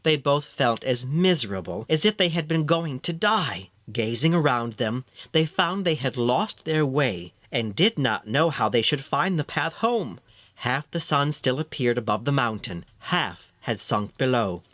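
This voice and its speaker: A male storyteller reads a fairy tale with measured, expressive delivery. His pitch is medium and swings widely from phrase to phrase. The recording is close and dry. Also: dry recording. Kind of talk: scripted fairy tale narration.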